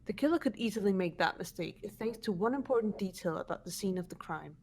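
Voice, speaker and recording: A woman playing a composed, analytical student detective, explaining in a measured way for a fan-voiced game. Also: mid-range voice, animated, a little mellow. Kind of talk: fan voice acting of a video game script.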